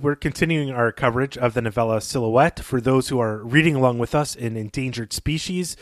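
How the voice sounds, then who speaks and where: A man talks to listeners as a podcast co-host, in an informal conversational style. The pitch is average for a man, and the close microphone gives a dry, echo-free sound. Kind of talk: casual podcast talk to listeners.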